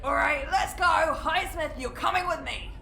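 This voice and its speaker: Female, stern audio-drama prison guard: irritated, commanding, very high pitch with a creaky edge. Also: moderate pace, pushed and bright, roomy sound, full character voice. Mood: weary commanding. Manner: curt, commanding.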